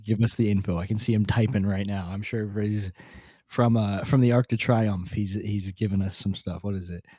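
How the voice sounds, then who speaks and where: A man with a lowish voice hosts a casual podcast. His talk is informal and slangy, with a jokey, self-mocking streak, and the sound is close and dry. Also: fairly even intonation, fairly quick, mellow, husky, dry recording. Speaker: podcast host.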